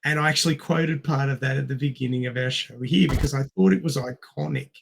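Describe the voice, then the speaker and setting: A man with a strained voice co-hosting a casual movie discussion, halting as he thinks aloud. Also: medium pitch, creaky, slight background noise. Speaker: film podcast host. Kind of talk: casual movie review chat.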